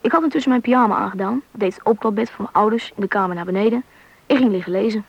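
A woman's voice: medium pitch, fast, breathy edge, dry recording, highs cut off. A woman playing a curious, anxious schoolboy who narrates and acts in a Dutch radio play. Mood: narrating, wondering.

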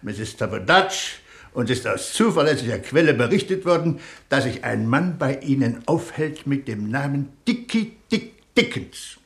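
A man's voice: medium pitch, big pitch swings, normal speed. An actor playing a self-important, suspicious but bumbling police chief inspector in a comic radio drama, officious and clipped in his questioning.

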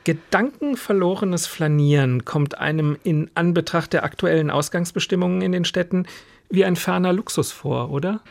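A man's voice: fairly high pitch, moderate pace, vocal fry, no room sound. A man hosting a radio programme, articulate and formal in his announcing and interviewing.

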